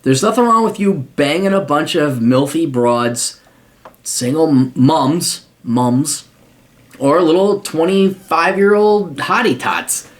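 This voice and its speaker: A man's voice: a little high, slow. A man with an American accent hosting a podcast and reading out and answering a listener's letter, talking bluntly with plenty of swearing. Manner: blunt, swears often, mocking voices for asides. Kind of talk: casual profane advice monologue.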